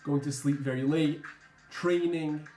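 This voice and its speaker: A young American man who served as a soldier, speaking in a measured, reflective way with some ums. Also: on the high side, fairly even intonation, dark tone, echoey room, soft background music. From United States. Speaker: former soldier interviewee. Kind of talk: earnest interview testimony.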